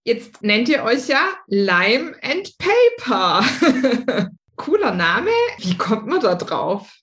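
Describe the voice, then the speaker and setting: A woman's voice: fairly high pitch, very animated, takes it slowly, a bit bright. Upbeat woman hosting an interview. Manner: enthusiastic, upbeat questions. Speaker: podcast host.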